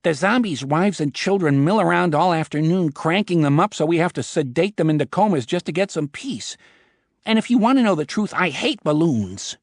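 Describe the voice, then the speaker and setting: A man's voice: a little high, expressive, normal speed, dry recording, studio quality, voice pushed up. A man voicing a sleazy middle-aged boss who gripes in a whiny way. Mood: griping. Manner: whiny, complaining.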